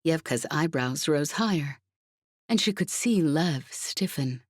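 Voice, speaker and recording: A woman's voice: low voice, lively intonation, slow pace, dry recording, studio quality. A woman reading a scripted novel aloud as an audiobook narrator.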